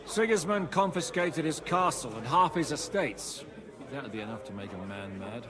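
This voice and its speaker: An actor playing a plain-spoken, cynical military commander in a medieval video game, blunt and sardonic. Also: male voice, on the high side, expressive, moderate pace, close to an everyday voice. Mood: sardonic, frank. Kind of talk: dramatic video game voice acting. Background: crowd.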